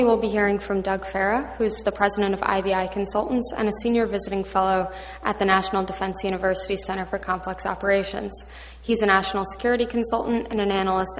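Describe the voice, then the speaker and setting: A woman's voice: mid-range voice, fairly even intonation, quick pace, slight background noise. Woman moderator in a reverberant hall. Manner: reading formal bios with light asides. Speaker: panel moderator. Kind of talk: introducing panelists. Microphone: microphone.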